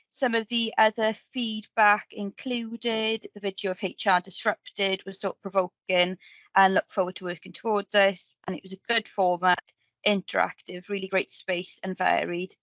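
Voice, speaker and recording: A slightly muffled, very dry recording of a woman presenting to colleagues at a meeting. She speaks at a middling pitch in a chatty, upbeat way and leans on key words for emphasis. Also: fairly bright tone, dry recording. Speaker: committee member presenting at an annual meeting. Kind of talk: informal work presentation with event recap.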